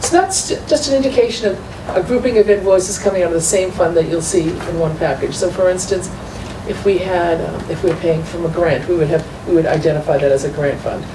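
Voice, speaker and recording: A woman's voice: on the low side, brisk. A woman presenting a financial report at a school committee meeting, walking the members through documents step by step. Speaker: school business manager. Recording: echoey far-off room mic with noise.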